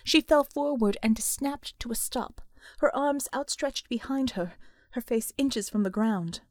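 A woman reading scripted fiction as an audiobook narrator, with measured, suspenseful, dramatic delivery. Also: on the high side, a little mellow, no echo, studio quality.

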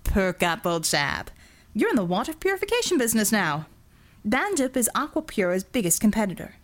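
Audiobook villain, male, played by a woman: flippant, sardonic, smugly cruel, very animated intonation. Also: medium pitch, normal speed, lightly acted. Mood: smug taunting. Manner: sardonic, breezy, mock-genteel.

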